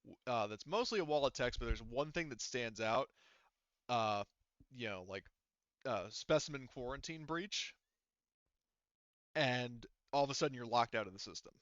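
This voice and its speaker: A man running a tabletop game as game master, narrating casually and haltingly, often circling back to rephrase. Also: mid-range voice, lively intonation, a bit bright, dry recording, highs cut off.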